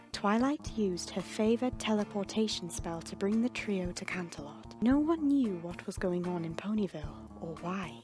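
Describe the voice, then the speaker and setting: Female narrator for dramatic fan fiction reading, medium pitch, suspenseful delivery, faint music bed. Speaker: storyteller. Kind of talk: dramatic scripted story narration.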